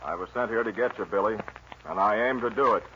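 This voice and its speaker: An actor playing a resolute, plain-spoken frontier lawman with an American Western accent, firm and measured in old radio style. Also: on the low side, lightly acted. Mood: grimly determined. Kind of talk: 1940s radio western acting. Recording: muffled old radio recording, faint noise.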